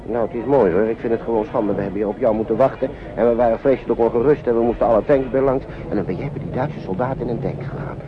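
A man's voice: mid-range voice, fast, few pauses, natural voice. A man playing a worried, kindly English uncle shepherding young children in a radio drama, hesitant and repeating himself as he fusses. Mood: scolding. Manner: hesitant, repetitive, fussing gently over children. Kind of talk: naturalistic radio drama acting. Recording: dull, noisy old radio play recording.

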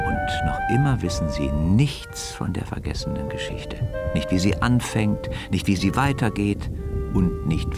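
A man narrating a scripted children's story as an expressive storyteller. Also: low-pitched, vocal fry. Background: music.

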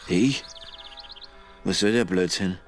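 Low-voiced, gruff Austrian buddy. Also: male voice, slow pace, faint music behind. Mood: indignant, baffled. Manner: curt, blunt. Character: gruff, hungover male buddy, curt and indignant. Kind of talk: radio drama acting.

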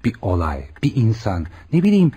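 A man's voice: fairly low pitch, wildly swooping pitch, moderate pace, dark tone, slight background noise. An actor playing a kindly, reassuring male doctor in a radio drama, friendly and explanatory.

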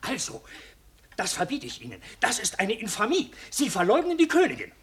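Tiny old shopkeeper: thin, husky, pompously indignant, wildly swooping delivery for radio drama. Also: female voice, mid-range voice, huge pitch swings, a little fast, heavily acted. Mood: outraged. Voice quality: thin, sharp voice. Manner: indignant, theatrical, pompously formal address. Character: tiny irascible old shopkeeper arguing with a stuffed bird. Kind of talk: German radio play acting.

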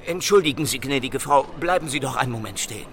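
An actor playing an obsessed, insistent Paris police inspector who questions people in a pointed, suspicious manner in a radio drama. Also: male voice, mid-range voice, expressive, quick pace, music in the background, natural voice. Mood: urgent.

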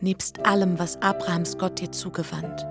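A woman's voice: fairly low pitch, little pitch movement, moderate pace, creaky. A woman playing an adoring wife of a biblical shepherd in a literary radio drama. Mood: fervent, solemn. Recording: prominent music behind the voice.

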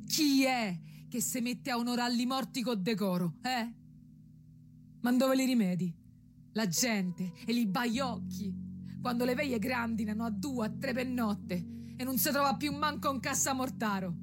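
An actress playing an earthy Roman commoner, wry and fearful, delivering a colloquial radio drama monologue about hard times. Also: female voice, medium pitch, close to an everyday voice.

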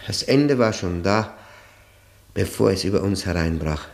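An actor playing a tormented, bitter man confessing his ruined marriage in a brooding, halting monologue, in the style of 1960 radio drama. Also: deep voice, animated, gravelly, full character voice. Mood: bitter, resigned.

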